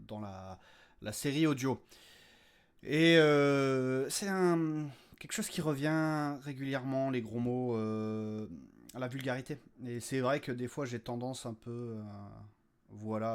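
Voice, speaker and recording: A man talking informally and unscripted as a podcast host, hesitant and jokey, often repeating words as he searches for them. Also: medium pitch. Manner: jokey, crude slang. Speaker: creator and host of a fiction audio podcast. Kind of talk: informal unscripted update to listeners.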